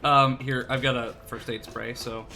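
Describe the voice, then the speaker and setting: A man's voice: mid-range voice, flat delivery, moderate pace, gravelly, sharp tone. A man streaming a co-op shooter, chatting casually with a friend, teasing and laughing often, with a somewhat nasal voice. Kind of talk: casual co-op gameplay chat.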